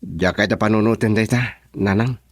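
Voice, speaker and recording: An actor with a Filipino accent playing a modest, easygoing young farmer in a radio drama. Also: male voice, fairly low pitch, moderate pace, no echo, studio quality, close to an everyday voice. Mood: reluctant, bashful.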